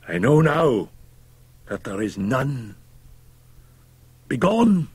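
A man performs a drink-sodden, bitter old man in a gothic audiobook tale. The voice is rough and husky, lifted high over his natural register, with wide swings. He declaims scornfully, fierce and defiant. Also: sing-song, very slow, darkish tone, no echo, full character voice. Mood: furious, anguished.

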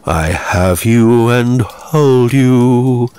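A boisterous, tipsy young nobleman played by a male narrator, raised well above the reader's usual pitch. He is mocking and merry in a slurred, rakish way, as in a storybook. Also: drawn-out words, warm tone, smooth. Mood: maudlin singing. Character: drunk young English nobleman, jolly, mocking, singing rakishly.

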